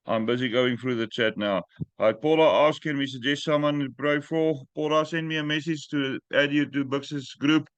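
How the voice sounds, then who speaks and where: A man hosting a group call in English, which is not his first language, talking in a direct, plain-spoken and sometimes halting way. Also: fairly high pitch, steady tone, clear, resonant tone, no room sound. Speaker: host. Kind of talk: casual group call chat. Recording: dry muffled call audio.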